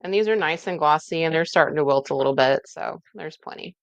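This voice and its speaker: Folksy, gravelly woman cooking host. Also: sits low, a little fast, dry recording, dull audio. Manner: folksy, chatty, explains as she works. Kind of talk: casual cooking demonstration.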